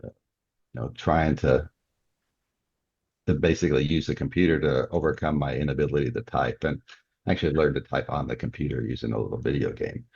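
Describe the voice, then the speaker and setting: Very deep, clear-voiced older man, muffled. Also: fairly even intonation, brisk, pauses often, a little mellow. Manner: chuckles often as he talks. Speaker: interview guest, author. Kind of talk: casual podcast interview. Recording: dull, muffled lo-fi audio.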